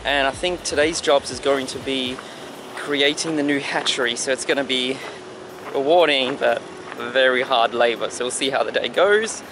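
A man's voice: medium pitch, normal speed, noisy background. A young man in his twenties, casually explaining his day's work while walking outdoors and filming himself with a handheld camera. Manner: chatty, explaining as he walks. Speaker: travel vlogger. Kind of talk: casual vlog narration. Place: outdoors at a beach turtle hatchery. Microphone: handheld camera at arm's length.

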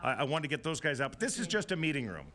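A man's voice: a little high, very animated, very fast, a crowd in the background. A middle-aged man reporting live on location with a handheld mic, upbeat and joking as he shows people around. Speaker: field reporter. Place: indoor renovated building.

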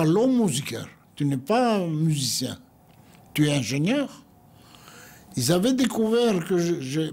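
A man's voice: high-pitched, darkish tone. An older man from Greece, recounting his memories unhurriedly in a studio interview and acting out what others said. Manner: unhurried storytelling, acting out others' words in quotes.

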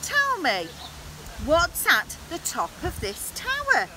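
A woman's voice: very high voice, wildly swooping pitch, slow, bright, forceful tone, a little hiss or hum, pitched above the performer's own voice, full character voice, rough recording. A woman voicing a bold, kind young knight who makes gallant, commanding declarations in a children's story. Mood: firm, curious.

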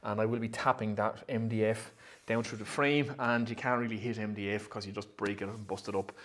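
A man's voice: on the low side, steady tone, brisk, fairly bright tone. A man in his thirties hosting a woodworking vlog, chatting casually and thinking aloud as he works in his shed. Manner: chatty, upbeat, thinks aloud while working. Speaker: woodworking channel host. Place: indoor woodworking shed, pegboard walls.

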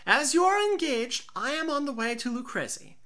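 A woman's voice: sits high, very animated, moderate pace, a bit bright, voice pushed up. A woman voicing a scheming avenger who feigns friendly concern, courteous and coaxing, in a dramatic storybook reading.

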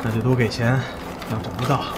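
A man's voice: mid-range voice, level tone, brisk, very noisy, lightly acted. Male actor: streetwise expedition adventurer, radio drama, mid-range voice, wry and casual. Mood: reluctant. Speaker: lead actor and narrator. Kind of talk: radio drama acting and narration.

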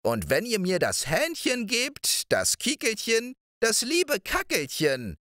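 A man's voice: high voice, huge pitch swings, slow pace, pushed and bright, dry recording, clean studio sound, voice pushed up, exaggerated voice. A man voicing a wheedling old witch of the moor in a storybook reading, coaxing and sing-song. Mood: scheming.

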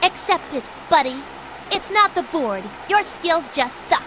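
A woman's voice: extremely high pitch, animated, measured pace, pushed and bright, a little hiss or hum, heavily acted, low-quality recording. A woman voicing a smug, mocking young female swallow mechanic for a video game cutscene, with game music behind. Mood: scornful. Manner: mocking, haughty.

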